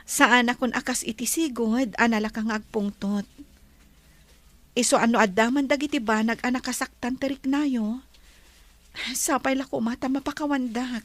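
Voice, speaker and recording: A woman with an Ilocano Filipino accent playing a gentle, wealthy bride-to-be in a radio drama. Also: fairly high pitch, steady tone, moderate pace, no echo, close to an everyday voice. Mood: apologetic.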